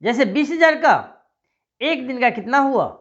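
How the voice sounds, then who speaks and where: A man promoting a job scheme, pitching straight to viewers and answering their imagined questions with repetition. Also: sing-song, unhurried, a bit bright, muffled recording.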